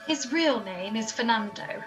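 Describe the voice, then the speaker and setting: A woman playing a sharp-tongued, exasperated adventurer in a comic radio drama. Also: a little high, lively intonation, a little slow, music in the background. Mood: scornful.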